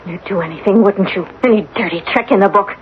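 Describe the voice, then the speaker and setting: A woman's voice: medium pitch, fast talker, close to an everyday voice. Woman acting a theatrical young witch, dull noisy recording. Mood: taunting, possessive. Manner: theatrical, taunting. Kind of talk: vintage radio drama acting.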